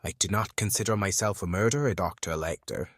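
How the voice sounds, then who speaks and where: A woman voicing a weary, guarded male FBI profiler in an audio drama, tired and deliberate, her voice pitched down to sound masculine. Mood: defensive. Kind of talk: fan audio drama acting.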